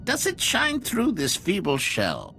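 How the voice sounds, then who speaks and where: A smooth politician who is secretly a fanatical villain. His bright, forceful voice swings dramatically across a very wide pitch range, with theatrical game cutscene delivery over faint music. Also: huge pitch swings, slow pace, heavily acted. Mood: gloating menace. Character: male politician secretly possessed, sneering zealous sleeper agent. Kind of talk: video game cutscene acting.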